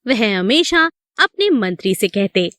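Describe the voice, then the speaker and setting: A woman narrator reading a children's story. Her delivery is unhurried with lots of melodic movement, and the recording is dry. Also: medium pitch, big pitch swings, a bit bright, no echo.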